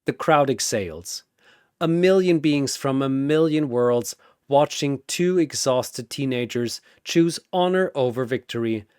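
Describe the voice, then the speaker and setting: A man delivers dramatic battle narration at a deliberate pace. His theatrical voice swings widely in pitch and builds suspense, in a close, dry recording. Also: no echo.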